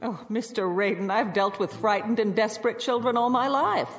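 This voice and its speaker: An actress playing a severe former schoolteacher in a radio drama, crisp and cutting as she lectures. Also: on the high side, normal speed, long reverb tail, soft background music, close to an everyday voice. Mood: stern scornful. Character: severe strict former schoolteacher woman, stern and scornful.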